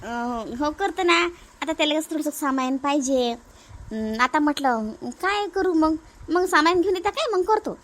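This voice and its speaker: A woman's voice: sits high, animated, moderate pace, fairly bright tone. A woman voicing a compliant, chatty young mother in a family cartoon, explaining things in a Varhadi Marathi accent. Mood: mildly complaining.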